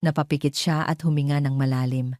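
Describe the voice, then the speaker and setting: A Filipino woman reads a dramatic audio story in a low, mellow voice. She tells it in a measured, theatrical way, and the recording is close and dry. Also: steady tone, no room sound. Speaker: narrator.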